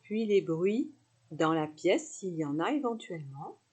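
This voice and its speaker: A woman teaching yoga nidra, leading a guided relaxation meditation with soothing, unhurried instructions and pauses between phrases. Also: fairly low pitch, expressive, a little mellow, breathy edge.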